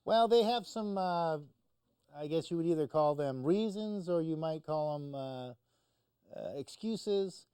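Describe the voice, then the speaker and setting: A man with a slightly high, very mellow voice comments on the news. He explains things conversationally, feeling his way through informally, and the sound is dry with little room in it. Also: lively intonation, a little slow, clean tone, no echo. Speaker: news commentator on a talk show.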